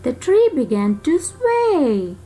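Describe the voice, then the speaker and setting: A woman reading a children's story aloud in an expressive way, with dramatic pauses and emphasis. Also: high-pitched.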